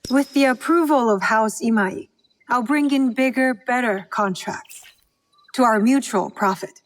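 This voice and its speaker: A woman's voice: fairly high pitch, measured pace, close to an everyday voice, poor audio. An actress playing a bold, composed woman posing as a trader in a video game, polite and self-assured. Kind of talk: video game cutscene acting.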